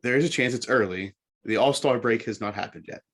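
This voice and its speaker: A man co-hosting a sports show, recapping news in a halting, measured way with repeated words. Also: mid-range voice, fairly bright tone, breathy edge.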